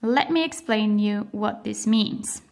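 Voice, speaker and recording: A woman with a Swiss German accent, a native speaker of Spanish and Swiss German, explaining a language lesson in a careful, emphatic way with frequent pauses. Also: medium pitch, creaky. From grew up on the other side of the globe. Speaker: language educator.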